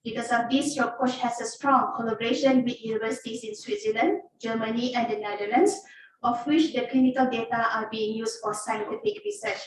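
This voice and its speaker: A woman moderator reads out a formal introduction in a slightly high voice. She sounds far from the microphone in a strongly reverberant room, with faint background hiss. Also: fairly quick. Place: lecture room. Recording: very echoey hall, from far off.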